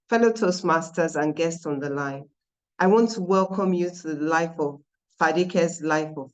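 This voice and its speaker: Female contestant, lowish register, deliberate formal delivery over a video call. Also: rough recording. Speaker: speech contestant. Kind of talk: prepared storytelling speech.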